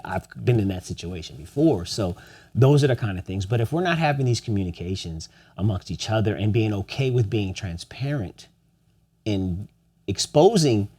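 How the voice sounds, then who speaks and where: Young guy on a podcast, deep mellow voice, kinda preachy, lots of "why are we not...". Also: animated, moderate pace. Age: young adult. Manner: earnest, preachy, rhetorical questions. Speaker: podcast co-host. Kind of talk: casual podcast conversation.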